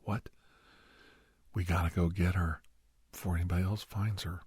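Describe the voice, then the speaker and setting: A man acting as a middle-aged doctor in an ASMR roleplay, with a low, very breathy and husky voice. He tells his memories softly, often sinking into a whisper, with earnest, halting pauses. The sound is close and very dry. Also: fairly even intonation, dry recording. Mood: earnest, reminiscing. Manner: halting, hushed, dramatic pauses. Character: middle-aged male doctor, earnest, sentimental, reminiscing to a youngster. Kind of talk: ASMR roleplay storytelling, soft-spoken and half-whispered. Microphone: close, dry microphone.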